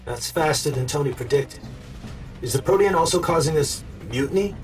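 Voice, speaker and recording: A man's voice: on the high side, expressive, normal speed, natural voice. A man playing a determined military colonel in a robotic suit in an action video game, talking urgently in clipped military phrases. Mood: urgent, wary. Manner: clipped military radio talk. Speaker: soldier. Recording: loud music, voice sounds distant.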